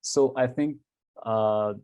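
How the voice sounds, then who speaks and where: A young man from Iran with an Iranian accent, Persian his first language, answering interview questions in a halting way, often pausing with fillers and restarting sentences. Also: medium pitch, mellow, clear, resonant tone, no room sound. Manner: halting, searching for words with many fillers and restarts. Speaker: graduate film student.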